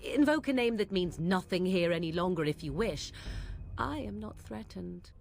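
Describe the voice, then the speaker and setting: A woman's voice: fairly low pitch, lively intonation, gravelly, a little mellow, slight background noise. Haughty young wilds witch. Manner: haughty, archaic speech, slow and measured. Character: aloof mocking young witch woman of the wilds. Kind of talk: video game voice acting.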